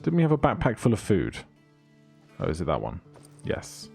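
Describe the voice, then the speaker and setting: A man's voice: on the low side, wildly swooping pitch, normal speed, gravelly, a little mellow, soft background music. A man giving casual let's play commentary, muttering through items with dry humour.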